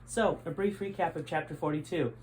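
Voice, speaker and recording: A man's voice: fairly high pitch, brisk, slight background noise, low-quality recording. A man reading aloud from his own book in a friendly, conversational way. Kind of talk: storytime reading.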